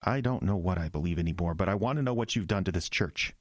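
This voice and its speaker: A rattled earnest church pastor in a radio play, halting and incredulous, pitch jumps all over, dry close sound. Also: male voice, animated, dry recording. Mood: agitated, incredulous. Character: earnest, flustered male small-town church pastor. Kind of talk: audio drama acting.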